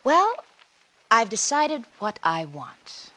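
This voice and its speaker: A scheming wife in a forties radio thriller. Her fairly high voice swoops theatrically, coy and teasing, as she insinuates and calmly angles for power. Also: slow, exaggerated voice. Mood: coolly calculating. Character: cunning, coolly blackmailing wife angling for power. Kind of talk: 1940s radio suspense acting.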